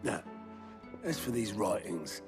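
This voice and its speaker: A British actor playing a gruff, wry middle-aged man in a fantasy video game, blunt and colloquial. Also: fairly low pitch, very animated, slow, full character voice. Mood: wry, sober. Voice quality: gravelly, creaky. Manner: blunt, colloquial, dryly teasing. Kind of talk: cinematic video game acting. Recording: music behind the voice.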